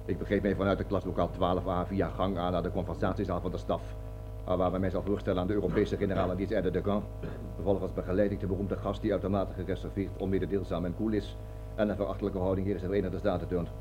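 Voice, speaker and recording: An actor playing a pompous army colonel in a radio play, servile to superiors and explosive with subordinates, speaking long-winded military jargon. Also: male voice, deep voice, level tone, quick pace, music in the background, lightly acted. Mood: officious, deferential. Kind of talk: radio drama acting.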